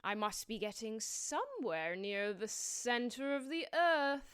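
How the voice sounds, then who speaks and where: A man's voice: very high voice, very animated, unhurried, a bit bright, a little hiss or hum, pitched above the performer's own voice, exaggerated voice. A man voicing a wondering storybook girl in a theatrical way. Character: Alice, wondering storybook girl falling endlessly.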